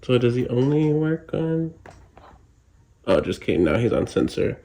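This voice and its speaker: A man hosting a Halloween channel, casually explaining a product setup step by step in a relaxed voice-over. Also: mid-range voice, unhurried, background noise. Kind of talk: casual product review and demo. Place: indoors, voice-over recorded apart from the footage.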